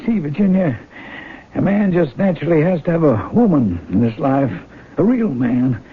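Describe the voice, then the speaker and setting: An actor playing a lonely, lustful middle-aged farmer in a radio drama, with a halting, pleading way of talking. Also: male voice, fairly high pitch, big pitch swings, full character voice. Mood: desperate, pleading. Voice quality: raspy, creaky. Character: lonely middle-aged Texas farmer, awkwardly lusting after foster daughter. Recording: tinny vintage radio broadcast.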